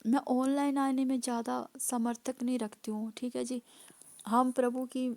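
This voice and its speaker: A woman pastor delivers an emphatic sermon, stacking up repeated phrases and hallelujahs. Her voice is hoarse and gravelly, with a fairly high pitch. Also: level tone, moderate pace, darkish tone.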